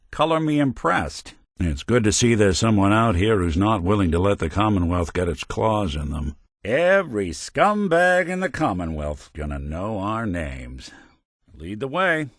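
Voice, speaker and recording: Game companion: a world-weary male synth detective, dry and wry, low and expressive, close dry recording. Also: dry recording, full character voice. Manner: dry, world-weary noir drawl, gruff when angry. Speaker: companion character. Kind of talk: game voice lines.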